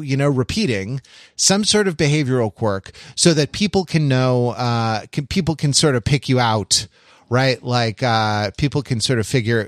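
A man co-hosting a podcast in casual chat, talking in a rambling, halting way and circling back to repeat phrases. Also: medium pitch, no room sound, studio quality. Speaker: podcast co-host.

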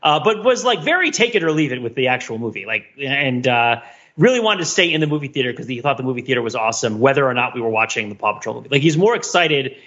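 A man co-hosting a podcast, talking casually and digressively about films in an animated, wryly analytical way. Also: mid-range voice, fast talker, highs cut off. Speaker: podcast co-host. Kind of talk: casual film discussion, rambling commentary.

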